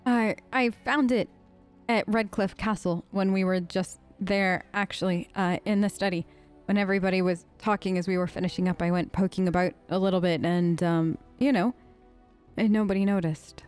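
A woman's voice: medium pitch, fairly even intonation, normal speed, a little mellow, smooth, soft background music. A woman gamer improvising casual role-play in an informal, tentative way, as if thinking aloud. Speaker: gamer role-playing her character. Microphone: close microphone, voice-over on gameplay.

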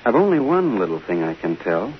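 Very low male voice, hesitant guarded witness, 1950s radio drama, hissy recording. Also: big pitch swings, a little fast, highs cut off. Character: guarded, shaken male film colleague under questioning.

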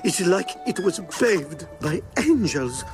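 A gushing, excitable little car with a heavy Italian accent, swooping wildly up and down in a high voice. A bouncy cartoon figure with loud music behind him. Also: male voice, slow, heavily acted. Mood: excited. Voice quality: gushing, effusive.

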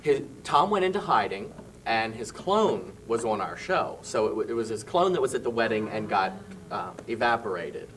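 Halting young man in a studio chat. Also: fairly low pitch, expressive, fairly bright tone, distant mic, slight background noise. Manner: halting, many fillers, laughing asides. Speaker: cast member guest. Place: small local TV studio. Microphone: handheld mic held by host.

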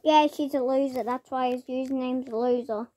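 A woman's voice: high voice, fairly even intonation, moderate pace, warm tone. A young girl playing a video game with her sibling, teasing and bossy, giggling often. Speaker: gamer. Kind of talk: casual gameplay chat.

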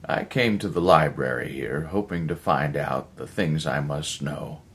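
A man's voice: a bass voice, measured pace, a bit bright, heavily acted. A man voicing a grim male scientist in a story, speaking in a grave, explanatory manner.

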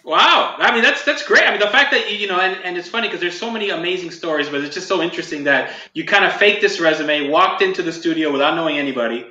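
A man's voice: sits high, fast talker, hardly any pauses, bright, forceful tone. A man in his forties hosting a casual video-call interview, asking questions and encouraging the guest with short affirmations. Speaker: interview host. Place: home room with a green wall, video call. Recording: roomy, dull video-call audio.

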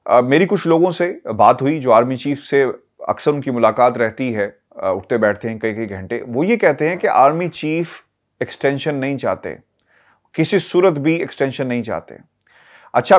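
A man in his forties with a Pakistani accent, delivering earnest, deliberate political commentary to camera with rhetorical emphasis. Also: mid-range voice. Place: small indoor studio, seated. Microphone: lapel mic.

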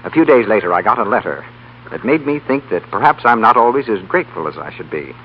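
A man's voice: on the low side, big pitch swings, fast talker. An American man working as an announcer, reading a scripted 1930s radio show and its advertising. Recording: muffled 1930s radio broadcast, faint hum.

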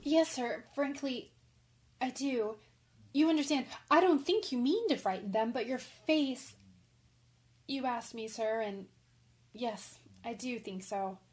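A frank, impulsive young woman writer in a radio play, acted by a woman. Her voice is fairly high, and she rambles on in a chatty, candid rush. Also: slow, a little mellow. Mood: frank, earnest. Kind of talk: radio drama acting.

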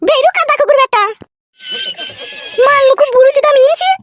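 A woman's voice: extremely high pitch, moderate pace, dry recording. A woman voicing a foul-mouthed, combative village mother in a cartoon. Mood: furious scolding. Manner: scolding, crude, combative.

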